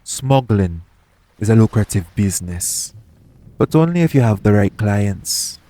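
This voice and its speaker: A man's voice: lowish voice, lively intonation, unhurried, warm tone, slight background noise. A man playing a shady, persuasive pirate captain in an audio roleplay, bargaining straight to the listener in roguish, ungrammatical speech. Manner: coaxing, bargaining, roguish dialect grammar. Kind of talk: audio roleplay acting, addressing the listener directly.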